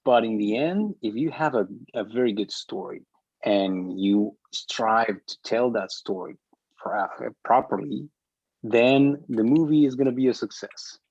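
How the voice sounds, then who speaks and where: A man explaining his view in a group discussion, halting and deliberate but emphatic, on a muffled call recording. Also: medium pitch, vocal fry, a little mellow, slightly rough, no room sound.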